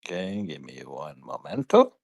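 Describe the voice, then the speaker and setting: A man's voice: rumbling low, huge pitch swings, normal speed, gravelly, breathy edge. A man chatting casually as a player in a tabletop role-playing game.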